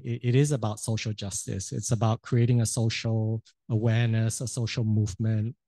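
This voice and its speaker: A man moderating a panel discussion, soft-spoken and halting, often repeating words as he speaks. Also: medium pitch, dry recording.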